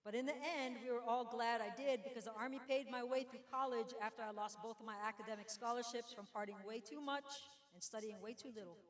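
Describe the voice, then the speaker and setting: A middle-aged woman delivers a prepared, uplifting address to graduating students. Her slightly high voice echoes through a large room. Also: fairly even intonation, quick pace, hardly any pauses. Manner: earnest, uplifting. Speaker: guest speaker, Army veteran. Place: school auditorium. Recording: echoey hall.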